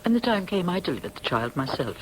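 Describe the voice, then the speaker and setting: An actress playing a stern, dignified, guilt-ridden older upper-class mother in a radio drama, speaking formally and with measured precision. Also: fairly low pitch, sing-song, a little hiss or hum, poor audio. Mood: grave, confessing.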